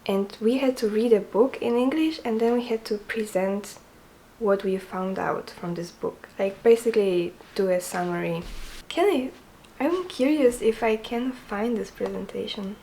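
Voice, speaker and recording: Soft-spoken young woman vlogging. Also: mid-range voice, measured pace, a little hiss or hum, rough recording. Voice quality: soft quiet voice. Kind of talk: casual chatty vlog.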